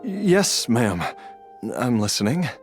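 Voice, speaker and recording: A man's voice: mid-range voice, very animated, faint music behind. A man voicing a polite, hesitant character in a video game. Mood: obliging, unsure. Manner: hesitant, slow. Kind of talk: scripted video game voice acting.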